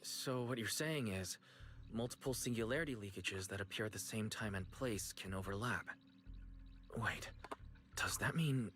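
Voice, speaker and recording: An actor voicing a calm, earnest young male investigator in a video game, pondering and explaining things deliberately. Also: lowish voice, faint music behind, natural voice.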